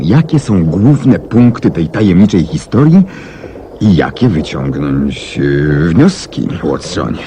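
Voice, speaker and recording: An actor playing a brilliant, self-assured detective in 1970s radio drama style, courteous, deliberate and articulate as he explains things. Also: deep voice. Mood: reflective, explanatory. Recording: 1970s radio recording with faint noise.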